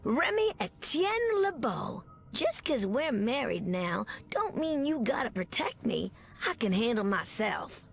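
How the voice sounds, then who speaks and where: Tough flirty superheroine, American Southern drawl, creaky edge, animated melody, video game. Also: female voice, on the high side, measured pace, hardly any pauses, a little hiss or hum, full character voice. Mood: firm, affectionate. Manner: drawling, folksy, teasing. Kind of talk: video game character voice acting.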